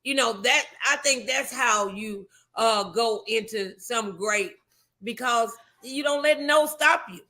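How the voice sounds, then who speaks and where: A woman hosting a faith-based interview show, speaking in an encouraging, affirming, gently preachy way. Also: fairly high pitch, takes it slowly. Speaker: talk show host.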